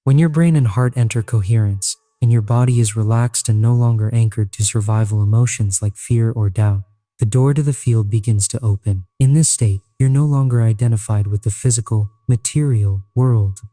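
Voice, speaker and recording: A man narrating a scripted guided sleep meditation in a solemn, measured, earnest way. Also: on the low side, warm tone, dry recording, studio quality.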